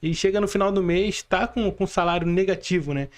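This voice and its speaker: A man with a Brazilian accent hosting a casual podcast interview, haltingly rephrasing and checking for agreement as he goes. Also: high-pitched. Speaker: podcast host.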